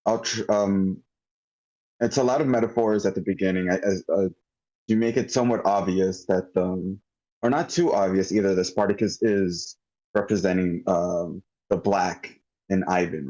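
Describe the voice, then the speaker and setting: Male, deep and mellow, halting off-the-cuff critique, lo-fi online call sound. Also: fairly even intonation. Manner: halting, circling back mid-thought. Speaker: table read participant giving script feedback.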